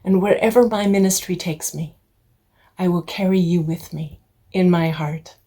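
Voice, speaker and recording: A woman's voice: on the low side, normal speed, dark tone, slightly rough. A middle-aged woman, a singer and intern minister, gives a heartfelt personal address to her congregation.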